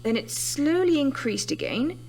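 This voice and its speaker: A woman's voice: high voice, big pitch swings, measured pace, vocal fry, a little mellow, slight background noise, exaggerated voice, rough recording. An actress playing a sceptical, analytical woman scientist in an audio horror drama, insistent and matter-of-fact. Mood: methodical. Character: brisk, sceptical female scientist reviewing a death.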